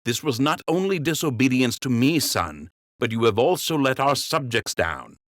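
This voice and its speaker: A man voices a noble rabbit king who speaks with calm authority and fatherly firmness. The voice is lifted a little above the narrator's low natural tone. The recording is dry and intimate. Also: no room sound, close to an everyday voice. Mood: gently stern. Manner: measured, warmly stern. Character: wise, dignified male rabbit king.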